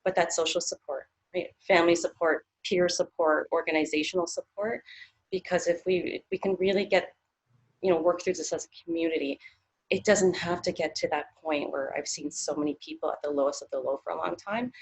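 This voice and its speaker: A woman in her thirties from Canada speaks as an interview guest. Her voice sits low, and she talks in a thoughtful way, circling back with many fillers and restarts. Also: fairly quick, husky, low-quality recording. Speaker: psychologist.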